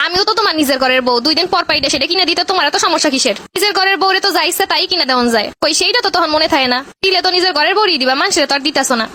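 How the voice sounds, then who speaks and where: A woman with a Bangladeshi accent voicing a demanding, sharp-tongued young housewife who scolds and argues in a comedy cartoon. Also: very high voice, rapid-fire, hardly any pauses. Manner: scolding, argumentative.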